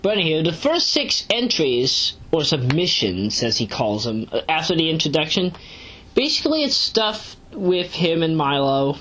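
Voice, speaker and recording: A dull, lo-fi home recording of a woman with a lowish voice. She talks through a review casually and without a script. She wanders off into digressions and stresses her side points as she goes. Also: big pitch swings, moderate pace, fairly bright tone. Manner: rambling, digressive, emphatic asides. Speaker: amateur web series reviewer. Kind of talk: casual unscripted review commentary.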